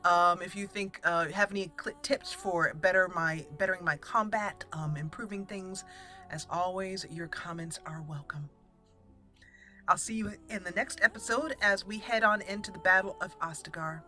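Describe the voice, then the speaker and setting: A woman hosting a roleplay playthrough, wrapping up the episode gently. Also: fairly low pitch, moderate pace, soft background music. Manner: soft, formal when in character, relaxed outro. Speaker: host. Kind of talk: roleplay gameplay commentary.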